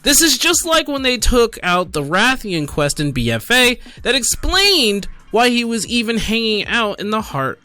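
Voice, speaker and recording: A man's voice: very high-pitched, big pitch swings, measured pace, hardly any pauses, bright, forceful tone, clear, resonant tone. A man giving casual, exasperated gaming commentary while reading posts aloud, repeating himself for emphasis. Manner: exasperated, incredulous, emphatic with repetition. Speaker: gaming streamer and host.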